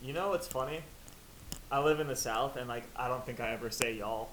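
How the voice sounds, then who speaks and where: A man's voice: mid-range voice, brisk, fairly bright tone. A man hosting a podcast from his kitchen, chatting casually and easily. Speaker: podcast host, gym owner. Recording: echoey room, faint noise.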